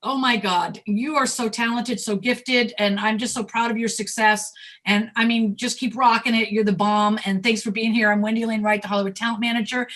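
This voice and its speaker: A woman with a high, very bright and forceful voice hosts a conversational interview. She speaks as an enthusiastic talent manager, effusive and generous with compliments. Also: steady tone, brisk.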